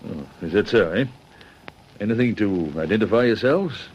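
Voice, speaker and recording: An actor playing a stern, wary Australian police constable who questions deliberately in a radio detective drama. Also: male voice, on the low side, animated, darkish tone, highs cut off, slight background noise, natural voice. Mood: stern, probing.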